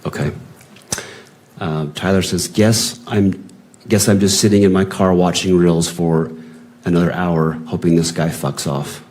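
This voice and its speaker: A man's voice: deep voice, steady tone, a little slow, a little mellow, slight background noise. A man reading written messages aloud in a plain, unacted way, as in testimony at a hearing.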